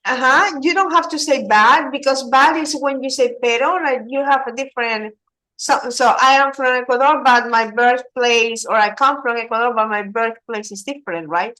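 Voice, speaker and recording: A woman's voice: a little high, normal speed, pushed and bright, rough recording. A woman teaching English, patiently prompting and correcting her student. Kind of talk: online English lesson.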